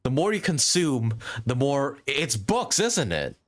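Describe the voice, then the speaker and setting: A male streamer reacts casually to a game. His pitch is very high for a man and swings widely, and his manner is brash, sweary and mock-indignant. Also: very animated, slow. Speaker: live streamer.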